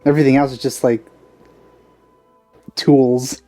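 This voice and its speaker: Mellow-voiced man rambling over a game. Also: mid-range voice, flat delivery, a little slow. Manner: musing, rambling asides. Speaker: let's play host. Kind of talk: casual game commentary. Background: faint game music.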